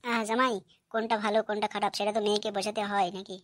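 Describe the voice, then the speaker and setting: A woman's voice: mid-range voice, flat delivery, racing, no echo, clean studio sound, close to an everyday voice. A woman voicing an exasperated middle-aged mother who scolds and questions in a cartoon comedy.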